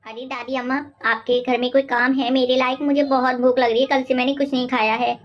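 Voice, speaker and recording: A woman voicing a sly, wheedling maidservant with a dramatic manner in an animated moral story. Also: high voice, a little fast, natural voice. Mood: pleading. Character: sly, pleading maidservant feigning hunger.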